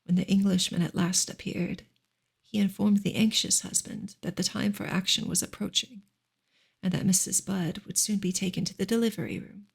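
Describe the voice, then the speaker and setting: A woman reading a novel aloud as soft ASMR, breathy and partly whispered, in a gentle, measured storytelling manner. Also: medium pitch, steady tone. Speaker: ASMR reader and channel host.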